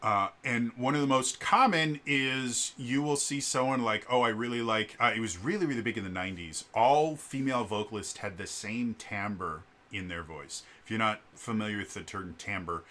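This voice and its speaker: Low-voiced man vlogging about singing. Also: bright, forceful tone. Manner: halting, frequent fillers and stammered restarts; shifts to gravelly vocal fry demonstrations. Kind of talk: casual explanatory vlog.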